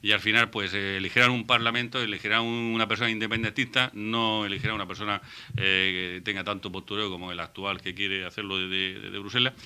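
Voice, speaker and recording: A man, speaking as a panelist on a local radio debate. His voice sits low but sounds very bright and forward. He talks in halting bursts and keeps restarting his words as he reasons. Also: flat delivery, clean tone. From Murcia, Spain. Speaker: radio talk show panelist.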